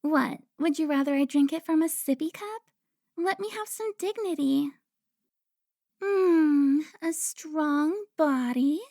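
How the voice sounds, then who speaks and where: A woman playing a seductive, haughty vampire informant in an audio drama, teasing with drawn-out, theatrical delivery. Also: high-pitched, a little mellow, no room sound, clean studio sound. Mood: mock-refined, teasing. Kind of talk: cinematic audio roleplay acting.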